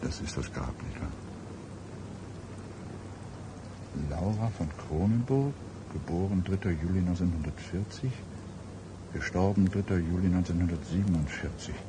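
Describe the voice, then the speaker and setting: A grave police detective with a low voice. He muses haltingly, troubled and puzzled, in the manner of a radio play. Outdoor ambience is clearly audible behind him. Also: expressive, background noise. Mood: musing. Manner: halting, grave, musing pauses. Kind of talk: German radio drama acting.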